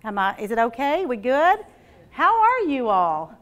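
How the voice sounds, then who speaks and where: Energetic lady in her 50s giving a training talk to teachers, kinda high voice, lapel mic in a big room. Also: a little slow. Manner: energetic, folksy, animated gestures. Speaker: school administrator. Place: large meeting room with tables. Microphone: lapel mics.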